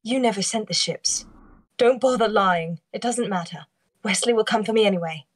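Woman playing a defiant, husky-voiced young princess. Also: mid-range voice, normal speed, lightly acted. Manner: proud, emphatic. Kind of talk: table read acting over video call.

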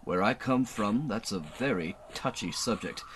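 A man's voice: fairly low pitch, lively intonation, moderate pace, a bit bright, a little hiss or hum, low-quality recording. A man playing an earnest, dry human space adventurer from Earth in a radio serial. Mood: dry, baffled. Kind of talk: old-time radio serial acting.

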